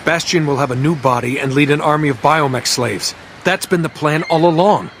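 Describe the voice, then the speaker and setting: An actor playing a serious, earnest superhero team leader in a video game, speaking matter-of-factly. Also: male voice, on the high side, a little fast, a bit bright, slight background noise, close to an everyday voice. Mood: serious, concerned. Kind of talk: video game cutscene acting.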